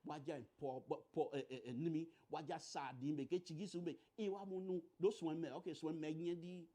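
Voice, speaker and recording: A middle-aged man from Liberia with a Liberian accent, giving an emphatic political talk in halting, repetitive phrases. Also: fairly high pitch, steady tone, warm tone.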